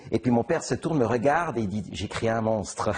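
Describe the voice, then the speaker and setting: A middle-aged man from Switzerland, answering interview questions in a halting way, with many restarts and fillers. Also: medium pitch, animated, a little mellow, slightly rough. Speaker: interviewed author. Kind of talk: conversational interview.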